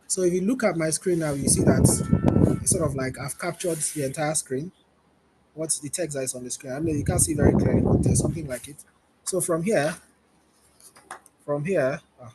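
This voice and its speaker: Man demoing over loud noise. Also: a little high. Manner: loose, repeats himself while demonstrating. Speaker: panelist. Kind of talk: webinar demonstration.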